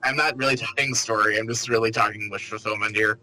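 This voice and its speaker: Bright-voiced man in casual chat. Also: on the low side, steady tone, fast, low-quality recording. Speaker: conversation partner.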